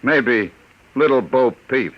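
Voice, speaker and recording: An actor playing a cold, sneering gang boss in a 1950s radio drama, menacing and mocking. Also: male voice, mid-range voice, animated, slow, highs cut off.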